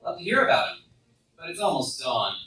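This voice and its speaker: A woman playing a weary, friendly night-owl hero, low-key and casual, in a roomy, distant radio play recording. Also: big pitch swings, brisk, fairly bright tone. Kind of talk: fan radio play acting.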